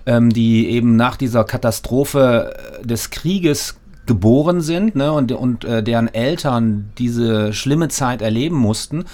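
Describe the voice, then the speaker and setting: A man's voice: mid-range voice, unhurried, hardly any pauses, darkish tone. A man interviewing a guest at a studio mic, asking long, winding questions full of fillers.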